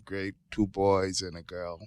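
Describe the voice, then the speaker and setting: Deep-voiced Jamaican man explaining. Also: flat delivery, measured pace, darkish tone, clear, resonant tone, no echo. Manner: often checks in with "right?". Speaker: guest expert, geology professor. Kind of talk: conversational explaining in a podcast interview.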